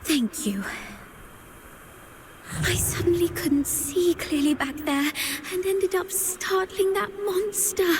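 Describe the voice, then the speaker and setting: Very high, slow, gentle young woman. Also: background noise. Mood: soft, apologetic. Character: gentle, apologetic young woman with failing sight. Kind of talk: video game character dialogue.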